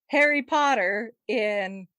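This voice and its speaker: Female podcast co-host, fairly high voice, unhurried delivery, animated casual chat, close dry sound. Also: clean tone, no room sound. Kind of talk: casual film discussion chat.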